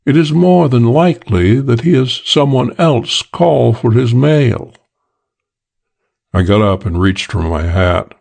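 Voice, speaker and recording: A man reading a first-person detective story aloud as an audiobook narrator, in a measured, even delivery. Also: medium pitch, gravelly.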